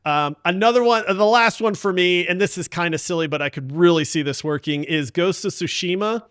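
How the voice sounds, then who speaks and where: A man's voice: high voice, fairly quick, fairly bright tone, smooth, no room sound, studio quality. A man hosting a podcast in casual chat, with an enthusiastic, informal way of talking. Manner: enthusiastic, informal, addresses others as "man" and "dude". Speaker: podcast host.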